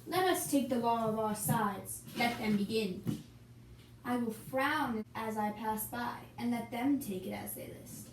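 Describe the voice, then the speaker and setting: A young woman performs archaic stage dialogue in an amateur, theatrical way. Her voice sits fairly high and moves at a slow pace. It sounds like a homemade puppet show recorded a little away from the mic. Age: young adult. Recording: echoey room, distant mic, hissy noise.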